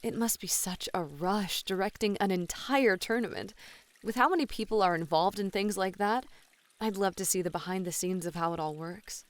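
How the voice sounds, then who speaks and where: Casting: actress as playful female model and gamer at a hot spring, mid-pitched, flirty and sweet-talking, for ASMR audio roleplay. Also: moderate pace, lightly acted. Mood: eager, pleased.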